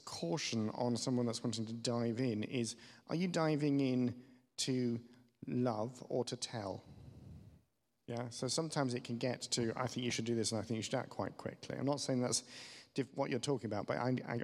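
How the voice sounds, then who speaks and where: Halting man in a reverberant hall. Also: mid-range voice, darkish tone. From West Germany. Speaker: church panel speaker answering audience questions. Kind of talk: spontaneous pastoral advice.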